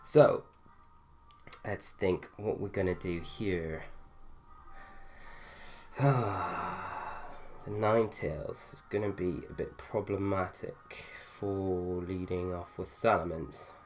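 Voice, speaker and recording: A man's voice: low voice, steady tone, slow, pauses often, a little mellow. A young man with a slightly nasal voice from a cold, casually commentating on his own gameplay and thinking aloud with fillers. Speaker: gaming video host.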